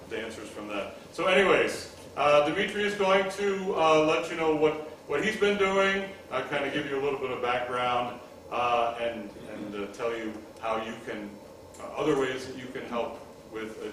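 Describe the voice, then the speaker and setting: A man introducing the next speaker at a benefit, halting with many fillers. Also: mid-range voice. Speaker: event presenter. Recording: echoey hall, distant mic, noisy.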